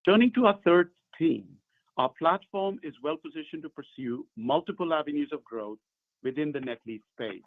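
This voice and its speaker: A man presenting as a company executive, reading formal prepared corporate remarks in measured phrases. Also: a little high, no room sound. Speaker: company executive on an earnings call. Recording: muffled narrow phone conference line.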